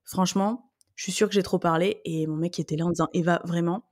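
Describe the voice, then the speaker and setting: A woman's voice: lowish voice, fairly even intonation, fast talker, a little mellow. Emphatic woman telling stories. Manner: storytelling, emphatic, acts out quoted dialogue. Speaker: podcast guest. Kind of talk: casual chat.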